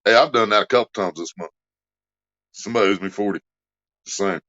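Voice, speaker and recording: A man's voice: on the low side, a little fast, bright, forceful tone, lo-fi sound. A man hosting a casual livestream, cracking crude jokes and reading out donations. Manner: crude joking, reads donations aloud. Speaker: livestream host.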